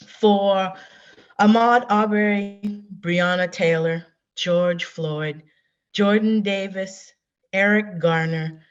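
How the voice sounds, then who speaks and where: Slow, muffled woman reading poetry solemnly. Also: medium pitch. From South Carolina, USA. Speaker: poet. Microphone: online video call. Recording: dull lo-fi online call.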